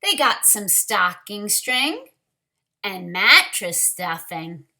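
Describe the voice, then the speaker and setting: A woman teacher leading a read-aloud storytime for young children, speaking deliberately and directly to them. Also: medium pitch, sing-song, gravelly, pushed and bright, slight background noise.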